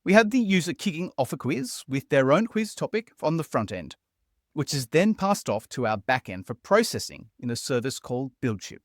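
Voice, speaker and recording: Man, tutorial host, upbeat conversational voice-over, animated intonation, dry close sound. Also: a little high, moderate pace, no echo.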